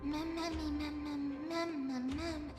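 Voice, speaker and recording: Little girl game companion: extremely high, bubbly, babbling, drawn-out, loud music behind. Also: steady tone. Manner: babbling, repetitive, childlike. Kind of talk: video game story acting. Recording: echoey hall reverb, loud music.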